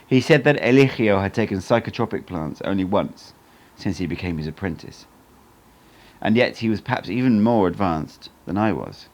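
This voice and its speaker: A man reading a book aloud as an amateur audiobook narrator, in an expressive storytelling style. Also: deep voice, normal speed.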